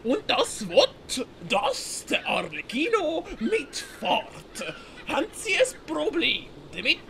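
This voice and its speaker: A grumpy old merchant for a comic audio play, high and creaky, with wildly swooping delivery. He is lecherous and excitable, spluttering and stammering when flustered. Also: slow, slight background noise, full character voice. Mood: grumpy, demanding. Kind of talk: comedic audio play acting.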